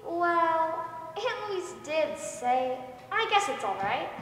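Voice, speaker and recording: Young woman as very high-voiced little girl, echoey. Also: sing-song, slow, fairly bright tone, exaggerated voice. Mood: hesitant. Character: innocent, hesitant little girl, a playful niece. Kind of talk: 1940s radio drama acting. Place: stage of a school theater. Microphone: microphone on a stand. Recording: echoey hall, faint noise.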